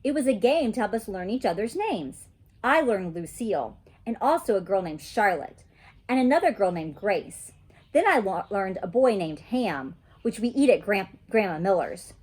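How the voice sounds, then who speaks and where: A woman reading a children's story aloud in an animated, childlike storytelling manner. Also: medium pitch, moderate pace, slightly rough.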